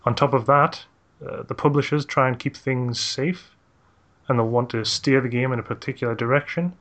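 A man's voice: mid-range voice, normal speed, fairly bright tone. A man hosts a casual podcast about games. He reads facts from his notes and drops jokey asides between them. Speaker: podcast host.

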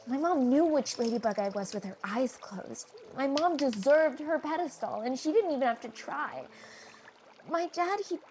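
A woman's voice: sits high, animated, normal speed, a little mellow, slight background noise. A woman playing a frank, wry teenage girl in a comedy radio play, talking in a confiding monologue.

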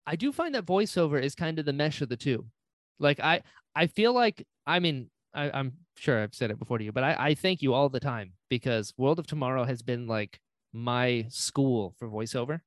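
A soft-spoken man chatting casually as a podcast host. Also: medium pitch, lively intonation, moderate pace, dry recording. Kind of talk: casual chat.

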